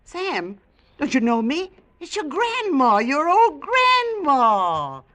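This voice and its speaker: An old grandmother brimming with affection in a radio play. Her high voice draws words out slowly and swoops up and down with fond delight. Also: huge pitch swings, pushed and bright, full character voice. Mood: joyful, fond. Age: older. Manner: gushing, affectionate, drawn-out. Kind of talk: old-time radio drama acting.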